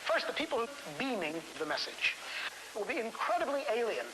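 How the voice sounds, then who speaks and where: A man speaking as a scientist in unscripted, lecture-like talk, halting and deliberate, repeating phrases for emphasis. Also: very high-pitched, very animated. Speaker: scientist interviewee. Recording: hissy vintage 1970s film soundtrack.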